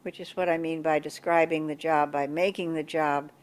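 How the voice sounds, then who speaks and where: An older woman with a big voice, recalling local government history in an oral history interview, pausing and restarting as she searches for words. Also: sits low, fairly even intonation. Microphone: table mic in front of a coffee pot.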